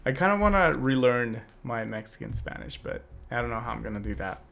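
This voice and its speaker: A man's voice: medium pitch, big pitch swings, brisk, gravelly, fairly bright tone. Rambling, reflective young man. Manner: reflective, rambling with many restarts. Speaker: podcast co-host. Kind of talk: casual chat.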